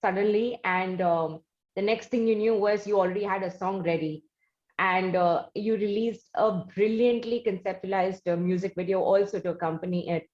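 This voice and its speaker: Indian lady hosting an interview, measured and a bit formal, echoey room, muffled lofi sound. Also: medium pitch, darkish tone. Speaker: interview host. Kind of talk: conversational interviewing. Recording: roomy, dull lo-fi audio.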